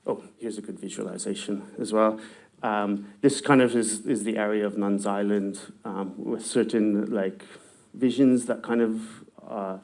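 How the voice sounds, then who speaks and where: Man, researcher presenting slides at a conference; somewhat low voice, halting, filler-heavy delivery. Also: fairly even intonation, darkish tone. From not from Galway. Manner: hesitant, many ums and restarts.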